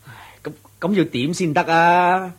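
A man's voice: high voice, steady tone, measured pace, breathy edge. A man playing an exasperated, henpecked merchant in a radio drama, pleading and placating in Hong Kong Cantonese. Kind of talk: theatrical radio drama acting.

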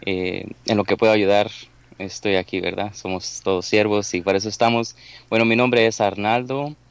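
A man's voice: fairly low pitch, fairly even intonation, moderate pace, creaky, clean tone, no echo. A man in his twenties with a Mexican accent answering interview questions, polite and earnest. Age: 20s. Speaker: interview guest. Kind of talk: recorded online interview.

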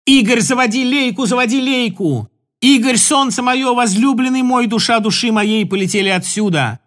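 A man's voice: very high-pitched, normal speed, fairly bright tone. A man voicing a passionate young woman chanting a long, rhythmic lament to her beloved. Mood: fevered.

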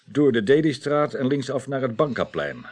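A dutiful detective in a radio play, with a husky, mid-pitched voice. He reports to his superiors respectfully and precisely, with lively intonation. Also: normal speed. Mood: earnest, precise. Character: dutiful, deferential male detective reporting on surveillance. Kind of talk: 1980s Dutch radio drama acting.

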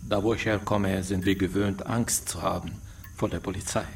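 An actor playing a guarded refugee father in a radio drama, speaking haltingly and quietly under questioning. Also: low-pitched, fairly even intonation, creaky. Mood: anxious, subdued. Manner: halting, subdued. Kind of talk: naturalistic radio drama dialogue. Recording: distant, faint noise.

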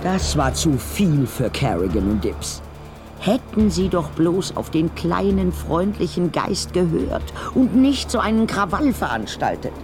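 Animated male storyteller over music. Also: high-pitched, a little slow. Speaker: narrator. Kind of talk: scripted storybook narration for audio drama. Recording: music underneath.

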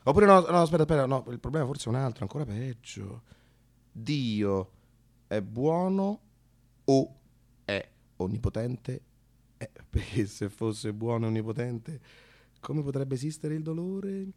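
A man's voice: mid-range voice, lively intonation, a little slow. A man narrating a theatrical monologue in a colloquial, dramatic way with emphatic asides. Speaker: narrator and solo storyteller. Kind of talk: theatrical monologue, conversational storytelling.

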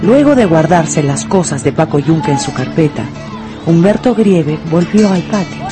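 A woman's voice: sits low, lively intonation, normal speed. Peruvian woman narrating a story over music. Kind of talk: scripted dramatic storytelling narration for radio. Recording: music and schoolyard crowd behind.

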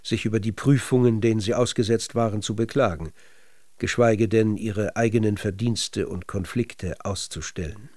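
A man narrating a literary radio documentary in a measured, sober manner. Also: lowish voice, fairly even intonation, a little mellow, dry recording.

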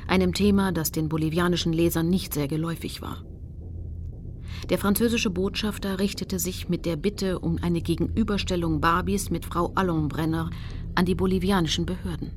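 A woman's voice: fairly low pitch, fairly even intonation, normal speed, darkish tone, a little hiss or hum, natural voice. An actress playing a determined female activist, recounting her campaign in composed, precise first-person narration for a radio play. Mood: composed narrating. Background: music.

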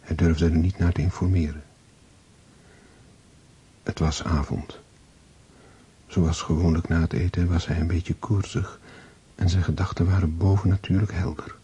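A man narrating a literary radio drama in a measured storytelling manner. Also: sits low, little pitch movement, warm tone, slightly rough.

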